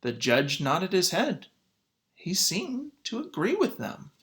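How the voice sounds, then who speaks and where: A man reading a children's book aloud as a teacher, with dramatic, emphatic phrasing and pauses. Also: sits high, wildly swooping pitch, a bit bright. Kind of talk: expressive children's read-aloud.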